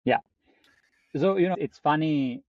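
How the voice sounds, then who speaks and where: A middle-aged man with a strong Italian accent, a guest on a podcast, talking lively and laughing often. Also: on the high side, a little slow, dry recording, lo-fi sound. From Italy. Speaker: guest, climate engineer. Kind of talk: podcast interview.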